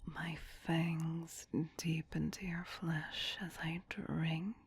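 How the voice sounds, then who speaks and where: A woman playing a doting, possessive vampire queen in an ASMR roleplay, whispering softly and soothingly in an intimate, coaxing manner. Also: low-pitched, flat delivery, very slow, heavily acted. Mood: fond, seductive. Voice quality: breathy, soft whisper.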